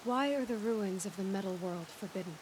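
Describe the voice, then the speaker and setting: Young woman huntress, acted, game dialogue, inquisitive, direct, restrained, determined, mid-pitched, noticeable rain and hiss behind. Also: fairly quick, natural voice. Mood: curious. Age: young adult. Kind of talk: video game dramatic dialogue.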